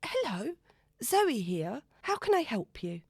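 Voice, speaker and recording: A woman voicing an excitable young single woman in an audiobook, bubbly and self-consciously breezy. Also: on the high side, slow pace, gravelly, breathy edge, dry recording, clean studio sound, voice pushed up, full character voice. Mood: nervous, eager.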